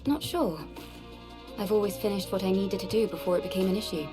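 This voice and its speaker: An actress playing a calm, capable female warrior in a game cutscene, speaking matter-of-factly. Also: medium pitch, a little fast, lightly acted. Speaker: diver volunteering to check a drain. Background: game music.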